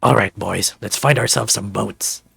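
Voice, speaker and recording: A man plays an older male dragon from a visual novel, gruff and grumbly yet affectionate. The voice is husky with a heavy gravelly creak. It is recorded dry. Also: fairly low pitch, moderate pace, bright, forceful tone, no echo, faint music behind, pitched below the performer's own voice, full character voice. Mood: confident.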